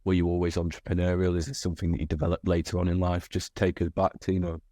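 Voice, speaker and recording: A young male host on a video call, his voice very deep and level. The sound is dry, and he circles around his questions, restarting and padding them with fillers. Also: little pitch movement, quick pace, warm tone, no echo. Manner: rambling questions with many fillers. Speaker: podcast host. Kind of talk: interview questions. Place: indoor room.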